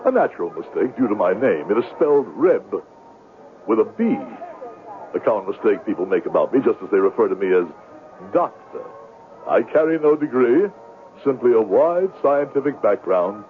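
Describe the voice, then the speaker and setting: A man's voice: lowish voice, big pitch swings, moderate pace. An actor playing a grandiloquent swindler who lives on his wits, speaking in florid, pompous, wordy phrases in a radio drama. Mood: grandly self-deprecating. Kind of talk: 1970s radio drama acting. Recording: tinny band-limited old radio recording, hissy.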